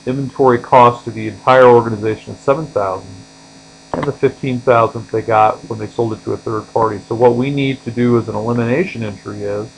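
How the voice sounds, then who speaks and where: Male instructor over a steady electrical hum. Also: mid-range voice, vocal fry, low-quality recording. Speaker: accounting instructor. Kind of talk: explanatory tutorial lecture, walking through on-screen examples.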